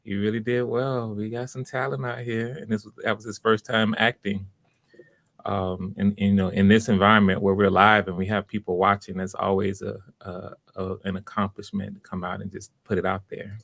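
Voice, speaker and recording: A man hosting a live online audition stream in casual, halting, unscripted chat, welcoming and encouraging to his viewers. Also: fairly low pitch, level tone, gravelly, a bit bright, no room sound. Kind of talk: casual livestream chat, greeting viewers by name.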